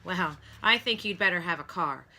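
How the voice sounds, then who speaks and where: A woman voicing a brisk, kindly male dentist in a storybook, instructive and given to exclaiming. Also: lowish voice, bright, forceful tone, slight background noise.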